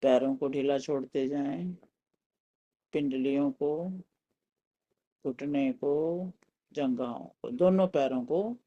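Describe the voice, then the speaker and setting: Slow-paced Indian man guiding meditation. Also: a little high, lo-fi sound. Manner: calm, soothing, instructing in short phrases with pauses. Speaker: guided meditation teacher. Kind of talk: guided meditation instruction.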